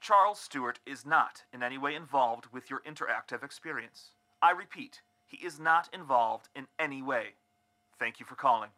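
Man acting a bright, officious hotline operator. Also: mid-range voice, lively intonation, moderate pace. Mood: impersonal, officious. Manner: clipped, officious. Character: formal, impersonal game hotline operator. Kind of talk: audio drama acting.

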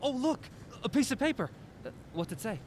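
A man playing a playful, excitable partner on a mystery hunt in a naturalistic audio drama, bantering and punchy. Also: extremely high pitch, fairly quick, slight background noise. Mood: excited, puzzled.

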